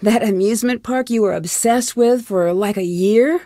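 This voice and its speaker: A woman's voice: mid-range voice, normal speed, dark tone, dry recording, clean studio sound, natural voice. A woman playing a young American detective in a video game, inquisitive, determined and guarded, speaking in a direct, conversational way. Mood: casual, reflective. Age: young adult. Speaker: video game lead character. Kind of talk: scripted game dialogue.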